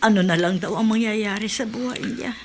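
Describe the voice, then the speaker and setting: A woman's voice: mid-range voice, moderate pace, slight background noise, close to an everyday voice. An actress in a radio drama playing a worried middle-aged mother who stammers with concern for her children. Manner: fretful, hesitant.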